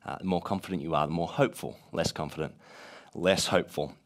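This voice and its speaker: A man with a gravelly voice from being a bit sick, leading a casual talk to a group with many "uh" fillers. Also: deep voice, fast. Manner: many "uh" fillers, lively rising emphasis.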